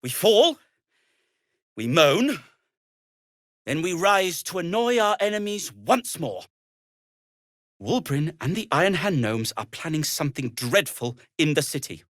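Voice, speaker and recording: Video game gnome tinkerer, middle-aged: fussy, wryly formal, hesitant stammer, unhurried pace, very animated intonation, dry close recording. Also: male voice, fairly high pitch, dry recording. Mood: indignant, then urgent, determined. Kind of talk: video game character acting.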